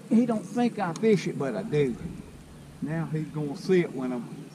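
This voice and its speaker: A man's voice: fairly high pitch, very animated, normal speed. Folksy older Southern man fishing from a boat. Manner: folksy, drawling, talks to himself while working the rods. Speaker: fishing video host. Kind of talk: casual talk to camera while fishing. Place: small boat on a lake, outdoors. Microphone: action camera mounted on the boat. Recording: outdoor lake ambience with clear background noise.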